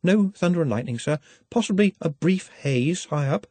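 A man's voice: a little high, lively intonation, normal speed, a little mellow, no echo, voice pushed up. A man voicing an earnest, learned male servant in an audiobook, nervous and deferential, with precise, formal speech. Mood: polite, careful.

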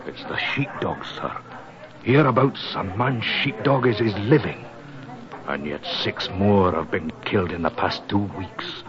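Deep-voiced grim Scottish innkeeper. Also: male voice, lively intonation, unhurried, over music. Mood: ominous, insinuating. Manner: ominous, deferential, folksy. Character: grim, superstitious Scottish village innkeeper who hates the local laird. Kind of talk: 1940s radio acting. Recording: dull 1940s radio recording.

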